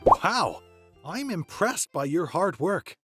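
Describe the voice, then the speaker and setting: An earnest, easily flustered young guy from a manga dub, acted by a woman. The voice sits fairly low for a woman and swings up and down with lively pitch. Clear music plays behind it. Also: takes it slowly, no echo, heavily acted. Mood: admiring. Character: earnest, generous young male student smitten with a girl. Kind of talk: manga dub dialogue and first-person narration. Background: background music.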